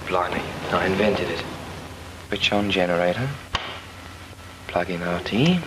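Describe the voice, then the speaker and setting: A cocky young trainee pilot drawls through his drill in a very deep, unhurried voice. A clear hiss from the old 1940s soundtrack sits under every word. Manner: cocky, cheeky, rattles off drill slowly. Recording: noisy 1940s film soundtrack.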